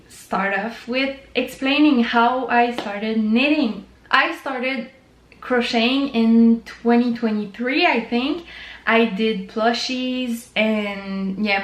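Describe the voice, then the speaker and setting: Slow-talking French Canadian woman, echoey room. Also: a little high. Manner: chatty, informal, lots of fillers. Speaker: knitting channel host. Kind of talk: casual chat to camera.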